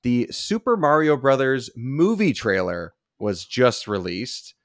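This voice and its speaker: A man's voice: medium pitch, very animated, slow pace, no room sound. Man hosting a casual podcast, dry close sound. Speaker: podcast host.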